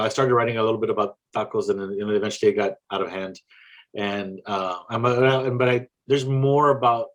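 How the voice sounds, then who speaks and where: Low-voiced South Texas man, reflective. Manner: reflective, halting, with many restarts and fillers. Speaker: poet guest. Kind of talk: casual interview chat.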